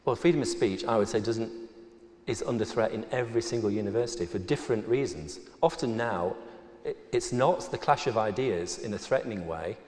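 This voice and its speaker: A man's voice: fairly low pitch, a little fast. Man arguing in a reverberant hall. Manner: forceful, argumentative, emphatic. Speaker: debate panelist. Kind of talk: formal debate speech and argument. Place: large auditorium.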